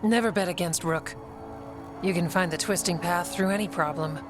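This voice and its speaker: An actress plays a steadfast warden fighter in a fantasy game. She is a low-voiced, plain-spoken woman, heard over clear music. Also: moderate pace, lightly acted. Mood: steady, proud. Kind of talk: video game dialogue.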